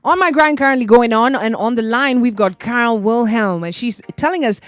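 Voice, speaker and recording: A woman's voice: on the high side, brisk. A young woman with a Kenyan accent hosting a morning radio talk show, chatting and mixing English with Swahili slang. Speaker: radio host.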